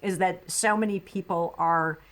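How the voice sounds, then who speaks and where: A woman's voice: lowish voice, steady tone, a little slow, a bit bright. A middle-aged woman hosting a podcast interview, chatty and affirming, with frequent likes and uhs. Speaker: podcast host.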